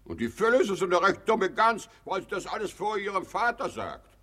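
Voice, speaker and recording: Husky, high-pitched, blustering grumpy father. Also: sing-song, moderate pace, sharp tone, heavily acted. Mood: indignant. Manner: blustering, exclamatory, imperious. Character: grumpy, domineering hypochondriac father, easily outraged. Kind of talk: German radio play acting of classic stage comedy.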